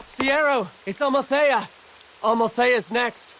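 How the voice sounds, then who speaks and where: High-voiced flustered messenger, faint hiss. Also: male voice, slow pace. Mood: urgent, alarmed. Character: urgent, flustered male messenger bringing alarming news. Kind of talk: anime fantasy game voice acting.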